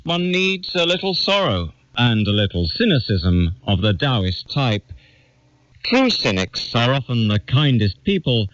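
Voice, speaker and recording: A man gives a scripted literary reading at a middle pitch that moves in lively swings. His delivery is measured and formal. The sound is dry and somewhat muffled, like a lo-fi recording. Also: no echo. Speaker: reader of an essay.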